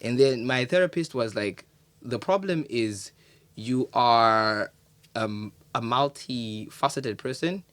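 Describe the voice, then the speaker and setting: A man talks casually as a podcast guest, reflective and halting, often doubling back on his words. His voice sits in the middle range. The recording is close and dry, with no room sound. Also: medium pitch, a bit bright, no echo. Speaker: podcast guest, poet and creative.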